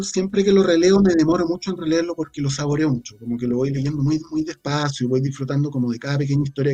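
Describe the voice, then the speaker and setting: A man with a Chilean accent answering interview questions as a guest, reflective and halting as he searches for words. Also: mid-range voice, warm tone, slightly rough. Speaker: guest, audio drama creator.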